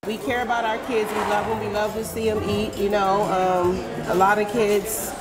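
A middle-aged woman who works as a school cafeteria cook, speaking warmly and heartfelt in an interview indoors. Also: medium pitch, little pitch movement, normal speed, loud music behind the voice. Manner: warm, heartfelt, laughing easily. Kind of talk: on-camera interview. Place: indoor community center hallway. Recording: busy crowd noise behind. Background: loud crowd chatter.

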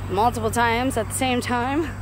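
American female vlogger, higher register, casual rambling monologue, handheld phone outdoors with background noise. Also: fairly even intonation, normal speed. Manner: chatty, digressive, confiding. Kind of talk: casual walk-and-talk monologue. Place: outdoors walking along a rural road. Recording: clear outdoor roadside noise.

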